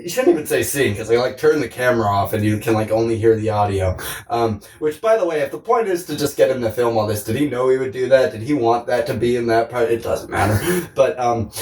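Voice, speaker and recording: A man's voice: medium pitch, darkish tone, slight background noise. A man co-hosting a podcast, chatting casually and riffing playfully. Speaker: podcast co-host. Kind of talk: casual joking chat. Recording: roomy, distant from the microphone.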